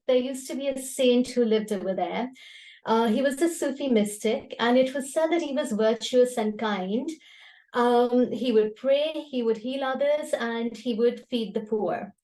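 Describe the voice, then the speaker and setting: Woman, high-pitched voice, poetry host, explanatory delivery, online event. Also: darkish tone. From Karachi, Pakistan. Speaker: host and poet at a poetry gathering. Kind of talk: spoken introduction and poetry recital. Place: online video call.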